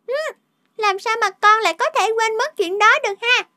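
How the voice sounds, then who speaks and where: A performer voicing an earnest, determined young girl in a cartoon dub, childlike and expressive, with music behind. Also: very high-pitched, moderate pace, exaggerated voice. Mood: hopeful, curious. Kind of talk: cartoon dubbing.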